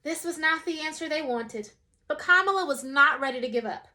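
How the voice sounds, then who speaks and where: Brief: young woman storyteller, very high and bright, lively reading for kids. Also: a little fast. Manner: expressive, shifts voice for quoted characters. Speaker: storyteller reading a picture book. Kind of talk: children's read-aloud.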